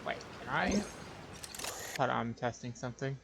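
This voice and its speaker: A man's voice: mid-range voice, a little slow. A man doing casual live game commentary as a streamer, musing to himself in short remarks. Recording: live stream with clear background noise.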